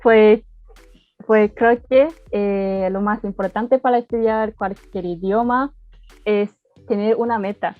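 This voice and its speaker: A woman who is not a native Spanish speaker, answering interview questions carefully with many pauses and fillers. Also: mid-range voice, steady tone, no echo, phone-quality sound, faint music behind. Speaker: guest, language learner.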